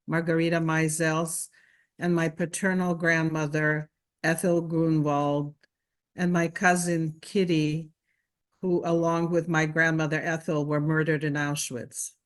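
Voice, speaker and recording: A woman's voice: deep voice, measured pace. A woman from Ukraine giving a composed dedication at a remembrance ceremony. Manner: even and composed. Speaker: candle lighter at a remembrance ceremony.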